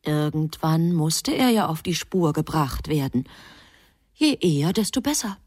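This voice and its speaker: An actress playing a composed angel who matter-of-factly narrates a human life in a radio drama. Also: female voice, low voice, animated, a little slow, a little mellow, no echo, studio quality.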